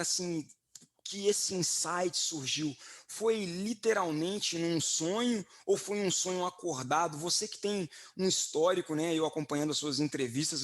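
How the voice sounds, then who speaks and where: A man hosting a podcast interview, asking questions with enthusiastic encouragement. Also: a little high. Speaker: podcast host.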